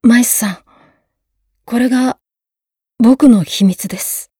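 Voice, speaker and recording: A woman's voice: a little high, slow, warm tone, husky, dry recording. A woman playing a modest, courteous young male swordsman in a Japanese game drama CD, speaking sincerely. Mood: confessional.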